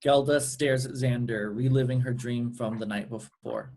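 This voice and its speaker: Guy narrating script directions at a table read, medium pitch. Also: steady tone, normal speed, a little mellow, slight background noise, poor audio. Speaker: narrator reading stage directions.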